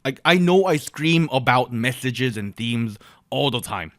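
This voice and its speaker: Animated Korean-speaking man co-hosting. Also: medium pitch, a little slow, no room sound, clean studio sound. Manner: animated, opinionated, joking. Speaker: podcast co-host. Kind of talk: casual chat.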